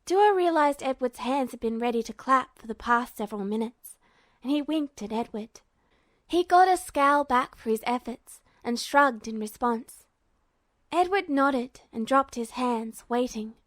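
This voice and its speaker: A woman's voice: on the high side, animated, a little slow, no echo. A woman reading fan fiction as scripted story narration.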